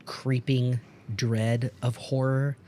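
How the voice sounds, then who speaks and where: A man's voice: mid-range voice, level tone, slow, warm tone, a little hiss or hum. Effusive man chatting on a podcast. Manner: effusive, enthusiastic, rambling. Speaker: podcast host and producer. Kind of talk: casual podcast discussion about film music.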